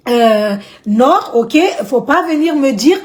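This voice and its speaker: A woman's voice: sits high, expressive, slow, a little mellow. An outspoken woman from Madagascar with a Malagasy accent, talking indignantly to camera in her vlog and repeating points for emphasis. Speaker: vlogger.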